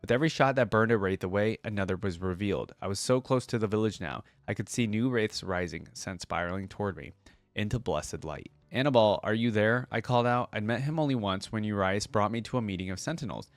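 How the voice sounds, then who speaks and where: A man's voice: on the low side, quick pace, no echo, soft background music. A man reading a story aloud and chatting casually as a reaction video host.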